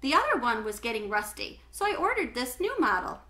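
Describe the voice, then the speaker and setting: A woman's voice: high-pitched, big pitch swings, brisk, bright, forceful tone, heavily acted. Woman reading as a friendly, upbeat big man. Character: friendly, reassuring, teasing big grown man.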